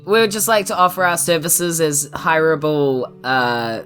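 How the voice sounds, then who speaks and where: Kiwi woman player, deep voice, cheeky and pushy. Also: a bit bright, soft background music. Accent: New Zealand. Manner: joking, pushy, quick quips. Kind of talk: casual tabletop play.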